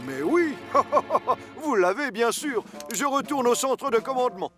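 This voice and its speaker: An actor playing a blustering, self-assured older colonel in a French cartoon dub, pompous and easily flustered. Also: male voice, very high-pitched, expressive, a little slow, fairly bright tone, soft background music, exaggerated voice. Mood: pompous, hearty. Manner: pompous, hearty, flustered under pressure.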